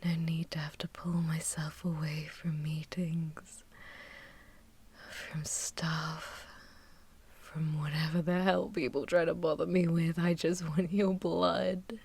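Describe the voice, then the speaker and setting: A woman's voice: sits low, flat delivery, slow, gravelly, a little mellow, husky, heavily acted. A woman playing a seductive, possessive and menacing vampire warlord in an intimate, mostly whispered ASMR fantasy roleplay, languid and teasing. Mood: hungry, seductive.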